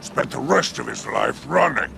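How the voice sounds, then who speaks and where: An actor voicing a smug, menacing alien crime boss in a video game, drawling and insinuating in a gravelly growl. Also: male voice, medium pitch, animated, normal speed, background noise. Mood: sly, menacing. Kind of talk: video game cutscene monologue.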